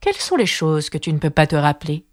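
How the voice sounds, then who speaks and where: A woman's voice: sits low, very animated, fast, dry recording. A woman voicing a stern, disagreeable storybook caterpillar who speaks in a curt, dry, commanding way. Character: stern, contemptuous, disagreeable caterpillar smoking a hookah.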